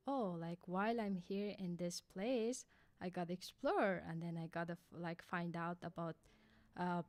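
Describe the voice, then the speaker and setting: A woman's voice: fairly low pitch, a little mellow. Young woman with an Afghan accent. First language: Hazaragi. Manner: hesitant, many fillers like "like" and "kinda". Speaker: guest. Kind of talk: casual interview answers. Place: radio studio by a window. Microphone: boom mic with headphones.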